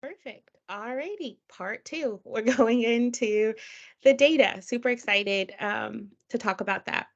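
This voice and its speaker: A woman's voice: on the high side, unhurried. A woman presenting evaluation findings on a webinar, talking casually with lots of fillers. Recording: dull lo-fi call audio.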